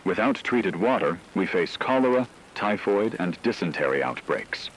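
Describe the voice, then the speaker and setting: A man playing a grave, analytical news anchor in an apocalypse radio drama, speaking in a measured, formal way. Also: low-pitched, sing-song, a little hiss or hum, poor audio. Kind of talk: scripted radio news commentary.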